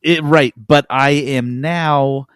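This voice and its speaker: A man's voice: fairly high pitch, fairly even intonation, slow, clean tone, no echo. Enthusiastic male podcast host, dry sound. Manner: enthusiastic, emphatic. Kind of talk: casual chat.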